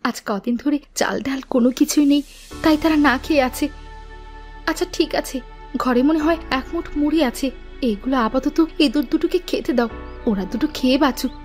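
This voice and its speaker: High-voiced kind tailor's wife played by a woman. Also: normal speed, darkish tone, soft background music, lightly acted. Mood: worried, kind. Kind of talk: storybook cartoon narration and acting.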